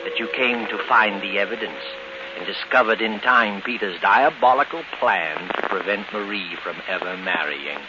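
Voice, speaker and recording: An actor playing a sinister, mocking, all-knowing narrator in a 1940s radio mystery, deliberate and taunting. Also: male voice, lowish voice, natural voice. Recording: muffled, hissy 1940s radio recording. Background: music.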